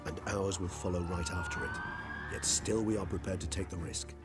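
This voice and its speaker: An actor playing a courteous, refined ancient vampire scholar who speaks in a measured way. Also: male voice, low voice, huge pitch swings. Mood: grave. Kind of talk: video game cutscene dialogue. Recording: game audio with loud music.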